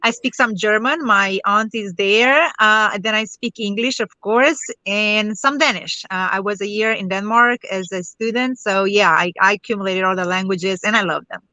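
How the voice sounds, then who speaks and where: A woman's voice: medium pitch, normal speed, few pauses, pushed and bright, no echo, rough recording. A woman from Romania, a guest in an interview, chatty with many fillers. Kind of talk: interview conversation. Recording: dry close sound with printer noise behind.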